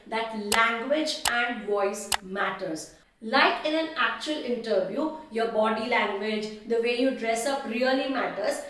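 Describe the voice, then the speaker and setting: A woman's voice: sits high, fairly bright tone, slight background noise. A woman with an Indian accent presenting an instructional video as a coach, in an emphatic, encouraging manner. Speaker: host, teaching coach. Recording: very echoey room, distant mic.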